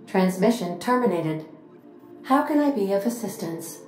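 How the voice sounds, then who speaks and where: An actress playing a polite, clinical female ship computer in a video game, speaking in formal, measured phrases. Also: medium pitch, lively intonation, darkish tone, echoey room, soft background music, close to an everyday voice. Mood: calm, formal. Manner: formal, measured, matter-of-fact.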